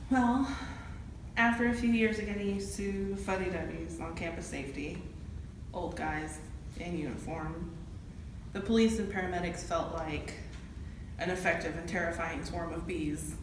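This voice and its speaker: A woman's voice: on the low side, fairly even intonation, normal speed, lightly acted. A woman acting a shaken, plain-spoken campus safety officer testifying in an amateur courtroom drama. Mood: tense, recounting. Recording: echoey, distant room with clear noise.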